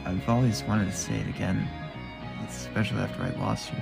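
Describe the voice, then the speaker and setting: Bereaved, devout widow, male actor: gravelly, faltering, desperate delivery for horror audio drama, heavy music underneath. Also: fairly low pitch, fairly even intonation. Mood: tender, tearful. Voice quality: creaky. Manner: halting, stammering. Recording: loud music over the voice.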